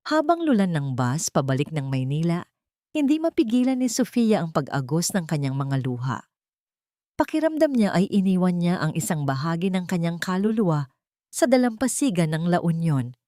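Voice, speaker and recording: A woman storyteller with a fairly low voice reads a scripted audio drama. Her delivery is emotive and suspenseful, with wide melodic movement. The sound is dry and close, with no room around it. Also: very animated, normal speed, dark tone, no echo.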